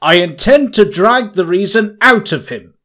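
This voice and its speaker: A man's voice: high voice, expressive, a little slow, sharp tone, heavily acted. A man voicing a stern, proud young prosecutor in a game dub, formal and pointed in his speech. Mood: stern, suspicious. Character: stern, haughty young male courtroom prosecutor, suspicious and proud. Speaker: fan dub voice actor. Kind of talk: dramatic video game dub acting.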